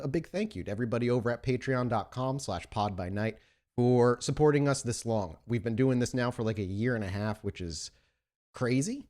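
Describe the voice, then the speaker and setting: A man's voice: mid-range voice, a little mellow. A man casually and enthusiastically chatting as the host of a tabletop roleplaying podcast. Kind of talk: casual podcast intro chat.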